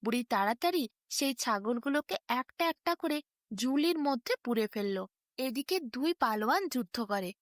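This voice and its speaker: A woman narrating a scripted children's fairy tale in a dramatic, expressive way. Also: a little high, normal speed, dry recording, studio quality. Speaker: storyteller.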